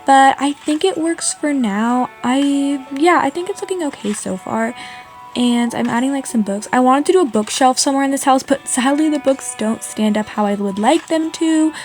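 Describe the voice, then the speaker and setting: Woman from Canada, higher voice, casual gameplay narration, chatty, indecisive, faint background music. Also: normal speed, darkish tone. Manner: informal, indecisive, frequent "like" and "honestly". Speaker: video creator narrating a game build.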